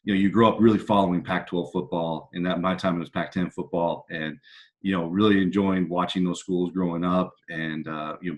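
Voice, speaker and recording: An American man speaking as a football coach, answering interview questions in a casual, reflective and halting way. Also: low voice. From California, United States.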